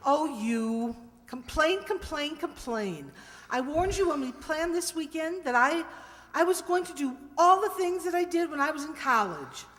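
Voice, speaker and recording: A chirpy, outdoorsy wife played by a woman teases in a light radio drama. Her high voice is girlish and breezy, heard in a big reverberant hall. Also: expressive, a little slow, a bit bright. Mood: cheerful, teasing. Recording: reverberant hall stage recording.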